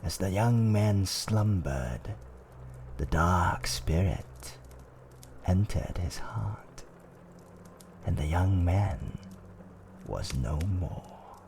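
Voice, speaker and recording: Male actor as fantasy tavern keeper, very low creaky voice, slow hushed dramatic fireside storytelling, faint ambience. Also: expressive, a little hiss or hum, full character voice. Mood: ominous, hushed. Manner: hushed, dramatic, deliberate, often dropping to whispers. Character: fantasy tavern owner, genial host telling dark tales. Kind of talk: fireside roleplay ASMR storytelling.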